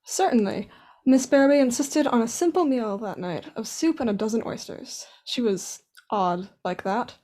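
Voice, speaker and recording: A woman's voice: a little high, animated, normal speed, mellow, lightly acted. A woman playing a polite, matter-of-fact butler in an amateur radio play. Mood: obliging, matter-of-fact. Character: obliging, matter-of-fact household butler Charlie.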